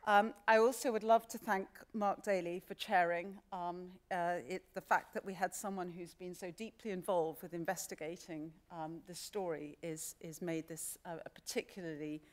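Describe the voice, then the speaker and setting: A woman giving a formal, polite closing speech of thanks in a halting way. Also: on the low side, steady tone. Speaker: chief executive of a society. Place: radio theatre stage.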